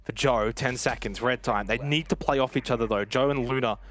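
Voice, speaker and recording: A man giving fast play-by-play commentary on an esports match, his voice leaping up at big plays. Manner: excitable, voice jumps high at big plays. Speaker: esports caster.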